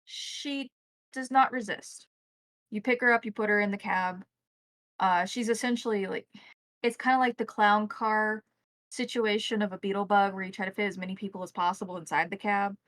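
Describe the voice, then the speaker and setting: Woman running a tabletop rpg, mid pitch, really dry sound, narrates and checks in with players. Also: fairly even intonation, normal speed, fairly bright tone, dry recording. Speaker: game master. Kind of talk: narrating a tabletop roleplaying game.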